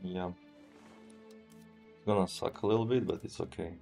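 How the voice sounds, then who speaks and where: A man with a Serbian accent, a streamer thinking aloud as he plays a strategy game in a relaxed, halting way and answering chat. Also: sits low, vocal fry, faint music behind. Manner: relaxed, thinking aloud, often trails off and restarts. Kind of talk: live gameplay commentary.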